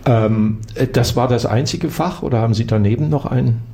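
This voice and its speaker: A man interviewing a guest for radio, asking calm, measured questions. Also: medium pitch, creaky, a little hiss or hum.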